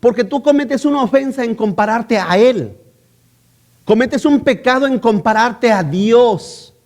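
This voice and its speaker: A man preaching in a strikingly high voice, pressing his point by repeating phrases. He keeps turning to the congregation with rhetorical questions as a pastor. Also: lively intonation, a little slow. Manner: emphatic, repeats key phrases. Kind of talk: sermon.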